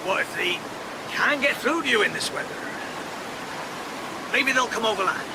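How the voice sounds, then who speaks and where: A man playing a gruff, blunt sailor in a period radio drama. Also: sits high, animated, normal speed. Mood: uneasy, hesitant. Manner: barking orders, blunt, colloquial. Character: gruff, rough sailor bossing his crew. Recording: loud outdoor sea and crew noise.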